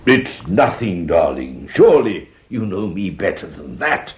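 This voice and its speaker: A worldly English gentleman in a farce, with a deep voice and very lively intonation. He is pompous and self-satisfied, drawling his schemes like a man of experience. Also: measured pace. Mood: conspiratorial coaching. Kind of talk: vintage stage farce acting.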